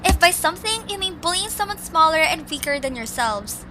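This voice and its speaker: Actress as bold young cartoon creature girl, extremely high voice, emphatic and impatient over music. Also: sing-song, fairly quick. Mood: defiant, mocking.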